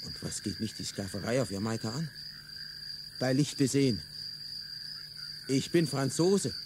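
A man voicing a bitter, worn-out Frenchman who grumbles with resentment. His voice sits in a middle range. Insects chirp clearly behind him throughout. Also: mid-range voice, lively intonation, measured pace, background noise, natural voice. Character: bitter, weary male French colonial agent. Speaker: radio drama actor. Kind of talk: radio play acting.

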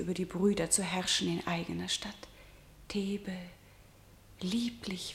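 A woman's voice: medium pitch, roomy sound, natural voice. A woman playing a defiant, unbending young princess, declaiming with intensity in a verse radio drama. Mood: bitter accusation.

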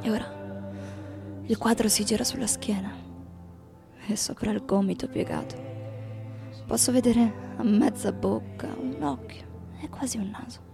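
An actress playing a weary, tender lover in an intimate literary radio drama, with a gravelly, creaky voice. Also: female voice, fairly high pitch, measured pace, long pauses, whispered. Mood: musing, tender. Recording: voice over faint-to-clear music bed.

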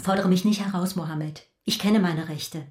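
An actress plays an exasperated mother in a radio drama. She is a sharp-tongued, middle-aged woman who scolds with heavy sarcasm. The room around her echoes clearly. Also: lowish voice, steady tone, normal speed, close to an everyday voice. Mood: stern. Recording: roomy, echoing indoor sound.

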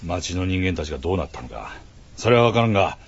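Deep-voiced, grave expedition leader. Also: male voice, big pitch swings, fast, slightly rough, exaggerated voice. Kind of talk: radio drama acting. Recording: muffled radio drama, music behind.

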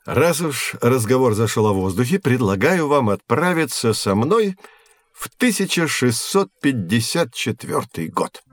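A man playing a patient, learned science mentor who explains things in a measured, didactic way in an educational audio drama. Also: mid-range voice, sing-song. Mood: inviting. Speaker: teacher figure.

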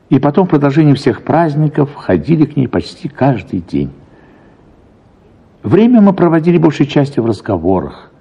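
A man playing a reflective, gentle narrator recalling his life in measured literary radio storytelling. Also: mid-range voice, breathy edge, dull audio.

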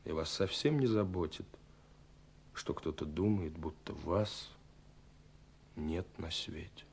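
An actor playing a lovelorn, wistful young man reading his letters in a radio play, brooding and dramatic, moving between hushed and impassioned. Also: deep voice, takes it slowly, creaky, heavily acted. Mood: melancholy. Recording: hissy 1970s radio recording.

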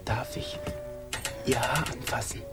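Ein Schauspieler in einem deutschen Hörspiel, der einen ergebenen, flehenden, verliebten Seemann schwärmerisch und poetisch spielt. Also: male voice, mid-range voice, steady tone, a little slow, in a whisper, over music, close to an everyday voice. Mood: shy pleading. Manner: ardent, poetic. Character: devoted, pleading, lovesick sailor man. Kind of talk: German radio drama acting.

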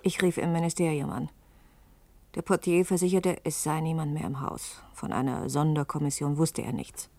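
A woman's voice: low-pitched, moderate pace, darkish tone. An actress in a German radio play, playing an anxious woman in an intimate manner. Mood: subdued, tense recollection. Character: anxious woman recounting her search for a man.

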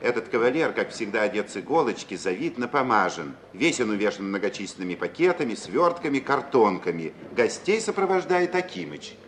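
An actor as narrator of a 1950s radio stage comedy, reading scripted stage directions. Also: male voice, mid-range voice, big pitch swings, a little fast, hardly any pauses. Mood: wry narration. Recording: faint hiss of old radio recording.

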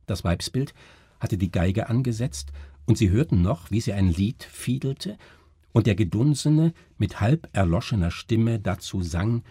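Male narrator, very husky, slow and deliberate, dramatic literary radio style, dry studio sound. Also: on the low side, animated, mellow, dry recording.

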